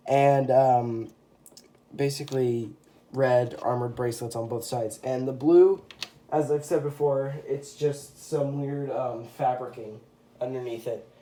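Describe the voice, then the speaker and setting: A man's voice: mid-range voice, fairly even intonation, unhurried, mellow, a little hiss or hum. A young man with a stuffy, congested voice from a cold, casually showing off a custom toy figure with lots of ums and self-corrections. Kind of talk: casual show-and-tell. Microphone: phone camera.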